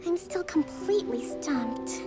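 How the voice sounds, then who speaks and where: A young priestess leader with a high, articulate voice. She is principled and diplomatic, choosing formal words in a measured way, as in an anime game, over background music. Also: exaggerated voice. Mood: weary. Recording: music playing behind.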